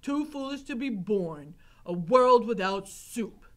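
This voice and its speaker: A woman voicing a gruff old male jailer in a story reading, scornful and solemn, in clipped pronouncements about himself in the third person. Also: fairly high pitch, animated, slow. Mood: scornful, muttering.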